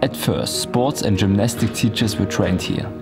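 A man narrates a scripted documentary voice-over. His voice sits fairly low, and music runs clearly beneath it. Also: unhurried.

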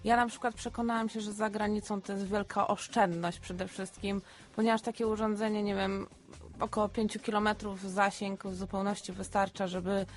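A woman's voice: mid-range voice, little pitch movement, normal speed. Woman chatting informally as radio guest. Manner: informal, peppers talk with hesitant fillers. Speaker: radio studio guest, retailer. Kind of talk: conversational radio interview. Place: radio studio.

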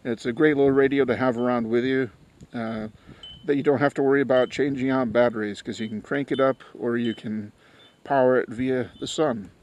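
A man's voice: mid-range voice, fairly even intonation, fairly quick, slight background noise. A man hosting an informal hands-on product video, talking casually and a little crudely. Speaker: host of product unboxing videos. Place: small metal trailer, then outdoors in snowy yard.

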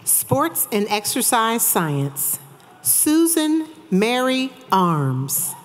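Slow, high-pitched woman announcing graduates. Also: sing-song. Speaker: commencement announcer. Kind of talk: formal ceremonial reading of graduates' names. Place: large auditorium stage at a graduation. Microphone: podium microphone. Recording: crowd noise of a large ceremony. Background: crowd and ambience.

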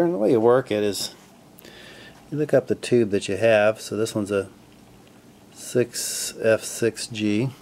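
A man casually narrating his radio restoration at a home workbench, relaxed and full of fillers as he thinks aloud. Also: mid-range voice. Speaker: hobbyist restoring a vintage radio.

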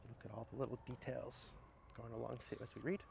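A middle-aged man speaking outdoors, sharing picture books in a gentle, explanatory way and addressing viewers directly. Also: mid-range voice, level tone, quick pace, creaky, slight background noise. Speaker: horticulturist presenting children's books. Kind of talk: picture-book read-aloud and casual book recommendations. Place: outdoors under trees in an arboretum garden.

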